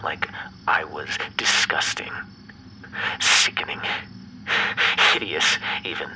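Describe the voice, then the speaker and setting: A man playing an obsessive, bitter, menacing ex-lover in an ASMR roleplay, speaking in a breathy, brooding half-whisper. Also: a bass voice, steady tone, poor audio. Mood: bitter, wounded. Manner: slow, brooding, theatrically menacing. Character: obsessive, bitter yandere male vampire ex-lover, menacing captor.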